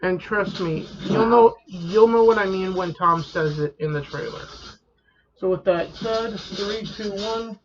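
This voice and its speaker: A man's voice: sits high, measured pace. A man hosting a podcast, chatting casually as he introduces and reacts on his show. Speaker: podcast host. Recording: dull sound with faint background noise.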